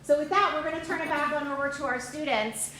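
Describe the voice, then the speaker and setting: High-voiced enthusiastic woman presenter. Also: fast talker, sharp tone, a little hiss or hum. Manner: enthusiastic, emphatic, repeats key phrases. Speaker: program presenter. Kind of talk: upbeat recruiting presentation. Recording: echoey room, far from mic.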